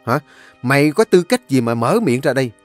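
A man voicing a furious young master of a rich household who yells curses. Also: medium pitch, big pitch swings, fairly quick, no room sound, faint music behind, natural voice. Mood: enraged. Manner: yelling, cursing.

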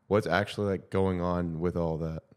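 Deep-voiced laid-back young male host. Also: fairly even intonation, clear, resonant tone. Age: young adult. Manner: laid-back, upbeat, quick asides. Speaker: podcast co-host. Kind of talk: casual podcast hosting. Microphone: handheld mic with headphones.

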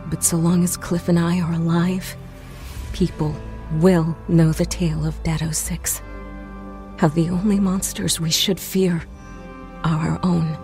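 A woman playing a solemn survivor of a doomed spaceship, delivering a measured, grave sci-fi monologue. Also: on the low side, dark tone.

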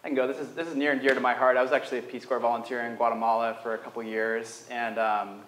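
A man's voice: mid-range voice, level tone, quick pace, a bit bright, slight background noise. A young man on a panel, answering earnestly and thoughtfully with frequent ums. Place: large wood-paneled lecture hall. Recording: roomy hall sound, faint ambience.

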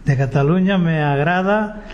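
A man's voice: on the high side, normal speed. A man from Jaén introducing himself as a Catalan course student, hesitant and stumbling over some words. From Jaén, Spain. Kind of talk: reading a short self-introduction. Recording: dull lo-fi recording.

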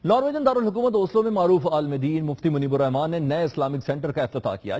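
A man's voice: fairly high pitch, mellow, slightly rough. A Pakistani man in his thirties reading news headlines formally as a studio anchor. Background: music.

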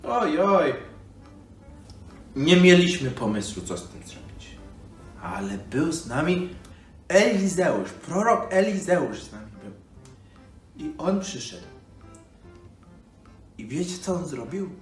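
A man's voice: fairly high pitch, big pitch swings, slow pace, pauses often. A man playing a nostalgic old storyteller, rambling folksily to children with hesitations and sighs. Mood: animated recounting. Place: living room by a fireplace. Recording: roomy, distant microphone, music behind.

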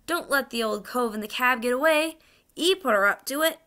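A woman's voice: mid-range voice, normal speed, bright, forceful tone, lightly acted. A woman reading a children's storybook, voicing cheeky, gleeful male onlookers with Cockney accents. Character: cheeky, gleeful Cockney males in a street crowd.

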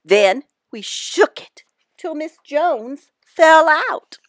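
A woman reading a children's picture book aloud, with expressive emphasis and dramatic pauses. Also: high-pitched, no room sound, clean studio sound. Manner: deliberate, dramatic pauses, animated emphasis for young listeners.

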